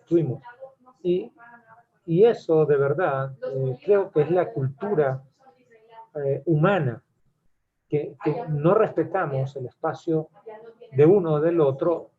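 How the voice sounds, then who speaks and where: A man from Venezuela with a Venezuelan accent, adding a hesitant comment during a webinar Q&A. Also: mid-range voice. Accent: Venezuelan Spanish. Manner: hesitant, many fillers and restarts. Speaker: webinar attendee asking a question. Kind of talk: casual comment in a Q&A. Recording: tinny phone-like call audio.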